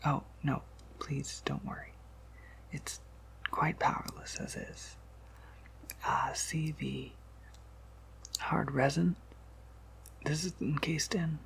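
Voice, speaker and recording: Man playing a whispering, creaky, gentle vampire shopkeeper. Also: steady tone, takes it slowly, long pauses, exaggerated voice. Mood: inviting, reassuring. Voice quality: breathy whisper breaking into creaky low murmur. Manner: gentle, considerate, hesitant with small asides. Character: soft-spoken, polite, slightly scatterbrained male vampire apothecary shopkeeper. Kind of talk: whispered ASMR roleplay addressed to the listener.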